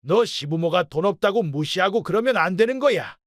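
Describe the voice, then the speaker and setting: A man's voice: high-pitched, expressive, a little fast, no room sound, studio quality. A man playing a brazen, blustering old father-in-law in a radio drama, scolding coarsely and blaming everyone else. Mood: indignant ranting. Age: older. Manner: scolding, coarse, self-pitying. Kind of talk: Korean radio drama acting.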